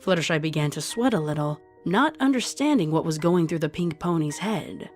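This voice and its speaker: A woman's voice: deep voice, lively intonation, fairly quick, darkish tone. A woman reading fan fiction aloud as scripted storytelling narration. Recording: music under the voice.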